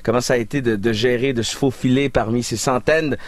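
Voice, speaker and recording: Jovial Québécois radio host. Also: male voice, mid-range voice, fairly even intonation, a little fast, no echo. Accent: Québécois French. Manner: jovial, laughs easily. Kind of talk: casual morning show banter. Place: radio studio. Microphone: studio microphone.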